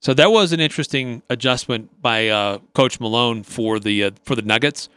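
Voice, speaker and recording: Bright, clear male sports radio analyst. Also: mid-range voice, moderate pace, no echo. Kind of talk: off-the-cuff basketball analysis.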